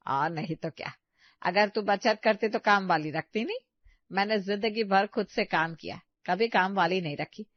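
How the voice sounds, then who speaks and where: A woman's voice: medium pitch, normal speed, no echo, lo-fi sound, lightly acted. A woman playing a frugal older mother-in-law, chiding and matter-of-fact, in a comedy story. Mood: disapproving. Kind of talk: animated comedy story dialogue.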